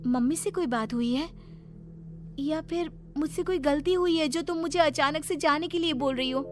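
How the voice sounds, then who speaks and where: A woman's voice: high voice, normal speed, soft background music, pitched above the performer's own voice, natural voice. A woman voicing a young granddaughter in a cartoon story, emotional, pleading and full of questions. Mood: puzzled pleading. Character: frightened, insistent young granddaughter, pleading and curious.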